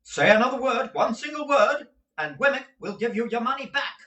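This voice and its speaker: A man voicing a stern, blustering middle-aged male lawyer who talks in a booming, domineering way. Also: sing-song, takes it slowly, fairly bright tone, muffled recording. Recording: roomy audio from a distant mic.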